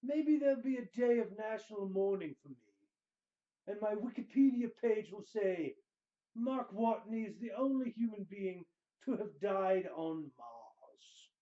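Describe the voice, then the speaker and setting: Man putting on a slow, high-pitched theatrical wizard. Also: lively intonation, warm tone, dull audio. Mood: grave, dramatic. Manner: grandiose, drawn-out delivery with heavy pauses. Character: pompous theatrical wizard dramatically reading books aloud. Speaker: host. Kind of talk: melodramatic dramatic reading.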